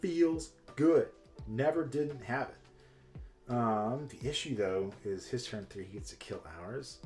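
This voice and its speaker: A man's voice: mid-range voice, measured pace, darkish tone, soft background music. A man streaming a card game, chatting casually and joking with his opponent. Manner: joking, upbeat, laughs often. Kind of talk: casual chat while streaming.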